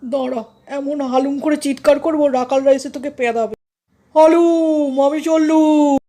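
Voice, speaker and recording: A woman voicing a gruff, dismissive male tiger who blusters and threatens in a children's fable. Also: high voice, fairly even intonation, brisk, mellow. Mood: gruff, threatening. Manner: growling roar-words, blustering.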